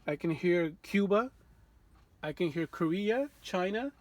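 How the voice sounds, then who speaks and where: A man presenting a casual hands-on walkthrough as a radio restorer, informal and halting as he thinks aloud. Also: high voice, expressive, no room sound.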